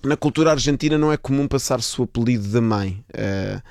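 A man's voice: mid-range voice, fairly quick, creaky, a little mellow, dry recording, studio quality. A man in his thirties from Portugal, a guest author talking casually in an interview, thoughtful and hesitant with many fillers. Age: 30s.

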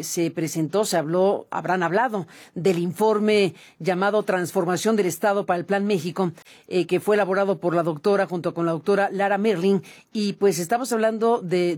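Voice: mid-range voice, darkish tone. A host, introducing a guest and asking questions with many hesitations and fillers. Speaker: interview host. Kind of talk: broadcast interview.

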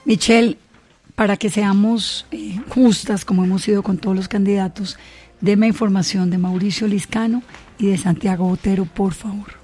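A woman's voice: mid-range voice, fairly even intonation, normal speed, mellow, slightly rough. A woman moderating a political debate, asking probing, challenging questions.

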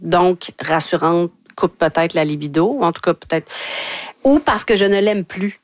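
Woman host, low voice, dry close sound, brisk reader of listener emails. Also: no room sound. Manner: reads listener emails aloud, brisk and curious. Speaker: radio host. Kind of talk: hosting a call-in advice show.

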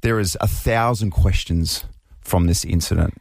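An Australian man from the Riverina co-hosting a casual sports chat, emphatic and animated, often repeating words as he gets worked up. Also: deep voice, measured pace, gravelly, no echo, clean studio sound. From Riverina, New South Wales, Australia. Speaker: sports show co-host.

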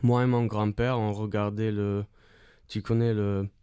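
Man from Australia, low smooth voice, halting French, casual interview guest, searching for words. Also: little pitch movement, warm tone, dry recording. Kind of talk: casual chat.

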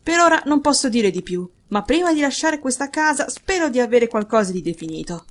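A woman reader voicing a keen, inquisitive male detective with a measured, probing manner, in an audiobook story. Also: a little high, very animated, pitched above the performer's own voice. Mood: composed, grave.

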